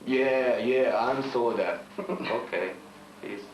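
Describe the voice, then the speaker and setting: A man from Hungary, a Hungarian speaker, talking in halting broken English in a casual interview. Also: medium pitch, a little hiss or hum. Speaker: b-boy crew member. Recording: echoey room with music behind.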